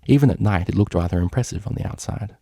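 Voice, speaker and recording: A man narrates a story in a low, gravelly voice with a dark, mellow tone. The sound is close and dry, as he reads scripted prose. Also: fast talker, no room sound. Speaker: fan fiction reader.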